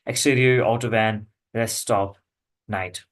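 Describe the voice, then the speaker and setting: A man reading screenplay stage directions aloud in halting, fragmented phrases. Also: lowish voice, steady tone. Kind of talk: script read-aloud.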